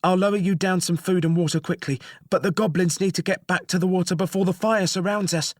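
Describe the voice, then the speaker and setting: A man doing a frantic female jailer, high. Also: fairly even intonation, brisk, dry recording, studio quality. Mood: urgent. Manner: pleading, panting, frantic. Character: panicked female jailer, desperate, weeping.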